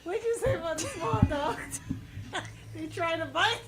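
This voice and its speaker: A woman's voice: very high-pitched, expressive, measured pace. A woman making casual, sceptical, teasing interjections as a radio co-host, speaking away from the microphone. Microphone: off-microphone, far away. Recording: distant, echoey, very noisy pickup.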